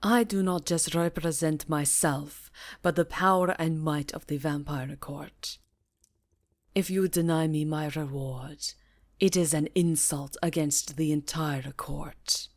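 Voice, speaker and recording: A woman's voice: deep voice, steady tone, slow pace. A woman voicing a haughty, menacing vampire countess with a silky, imperious delivery in a fantasy roleplay. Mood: cold, threatening. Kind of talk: fantasy ASMR roleplay acting.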